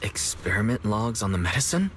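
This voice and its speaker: A man's voice: lowish voice, sing-song, a little fast, heavy background noise. An actor playing an earnest, watchful young man leading an investigation in an English video game. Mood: troubled. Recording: loud music behind. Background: loud game music.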